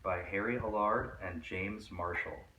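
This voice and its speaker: A man reading a children's picture book aloud as a storyteller, in an expressive storytime style. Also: deep voice, unhurried, far from the mic, background noise.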